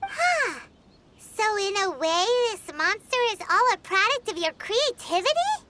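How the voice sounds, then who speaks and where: Squeaky little fairy sidekick. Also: female voice, very animated, a little slow, sharp tone, a little hiss or hum, full character voice. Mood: curious. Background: game music.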